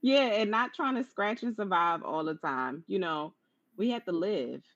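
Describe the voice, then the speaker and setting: A woman with a low-pitched voice hosting a conversational interview in an encouraging, affirming manner. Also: expressive, moderate pace, fairly bright tone, dry recording. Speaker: interview host. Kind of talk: conversational live-streamed interview. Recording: dull lo-fi online stream audio.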